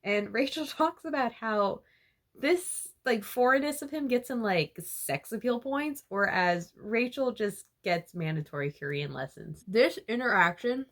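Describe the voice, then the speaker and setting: A young woman with a slightly high voice chatting through a book summary for her channel. She giggles often and peppers her sentences with "like" and "you know." Her delivery is loose, casual and excited. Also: unhurried. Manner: chatty and giggly, full of fillers like "like". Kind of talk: casual chapter-by-chapter retelling.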